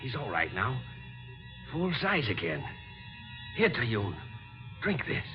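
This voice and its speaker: A man's voice: medium pitch, wildly swooping pitch, takes it slowly, music in the background. A man acting an astonished, commanding scientist colleague in an old-time radio drama. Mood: brisk, concerned. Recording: muffled old radio recording.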